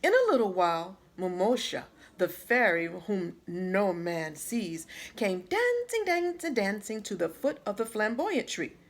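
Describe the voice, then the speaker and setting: Lady teacher reading a picture book out loud, takes her time, super animated and dramatic. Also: mid-range voice. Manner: dramatic, deliberate phrasing. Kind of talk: expressive children's storybook read-aloud.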